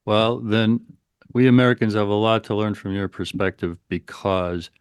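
Low-voiced male host with rambling questions. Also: moderate pace, clean tone, dry recording, studio quality. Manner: rambling, repeats words as he frames questions. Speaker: interview host. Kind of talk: interview questions.

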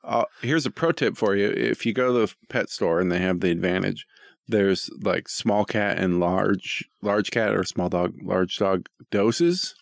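A man's voice: lowish voice, a little slow, vocal fry, no room sound, clean studio sound. A man co-hosting a podcast with casual, joking banter and an irreverent, profane way of talking. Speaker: podcast co-host reading listener questions.

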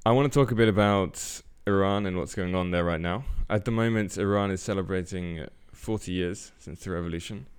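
A man's voice: deep voice, brisk, few pauses, smooth. A man interviewing a guest, asking direct, brief questions.

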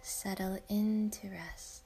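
Woman whispering a sleep meditation real slow, soft chimes and music faint behind. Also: medium pitch, steady tone, creaky, breathy edge, low-quality recording. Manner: hushed whisper, slow and soothing. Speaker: meditation guide. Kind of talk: guided yoga nidra meditation.

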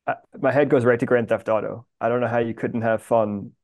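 A man's voice: mid-range voice, steady tone, fairly quick, darkish tone, lo-fi sound. Man chatting casually in short, joking phrases. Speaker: podcast guest, screenwriter. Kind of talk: casual chat.

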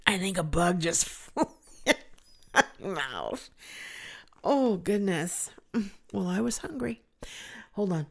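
A middle-aged woman with a deep, resonant voice hosting her own podcast, rambling in a chatty, self-deprecating way. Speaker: podcast host. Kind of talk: casual solo podcast talk.

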